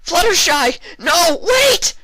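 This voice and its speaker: A man as a forceful, raised-pitch tough unicorn mare. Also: sing-song, slow pace, dry recording, heavily acted. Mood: alarmed shouting. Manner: urgent, forceful, blunt. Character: tough, protective, foul-mouthed female unicorn pony. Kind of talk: fan fiction story reading.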